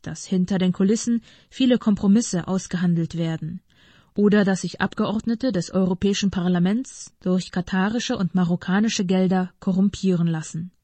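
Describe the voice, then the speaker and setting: A woman reading scripted political commentary aloud in a formal, measured manner. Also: mid-range voice, warm tone, no echo.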